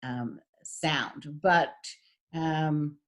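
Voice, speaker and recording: A middle-aged woman with a husky voice, giving a recorded lecture as a voice and speech teacher, with frequent fillers. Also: sits low, drawn-out words. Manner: demonstrates voice types, lots of fillers.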